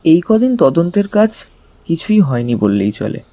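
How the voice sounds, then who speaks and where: A man telling a detective thriller in the first person, in an expressive, conversational storytelling style. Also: a little high, normal speed, no room sound, highs cut off, soft background music. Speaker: narrator of an audio story.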